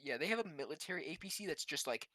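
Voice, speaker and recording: A man's voice: on the high side, animated, racing, bright, forceful tone, dry recording. A man chatting casually as a player in a tabletop role-playing game. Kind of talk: casual chat.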